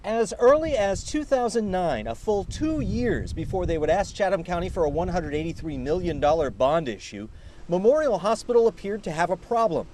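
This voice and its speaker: A man reading a scripted investigative television news report with emphatic, deliberate phrasing. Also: high-pitched, darkish tone, a little hiss or hum.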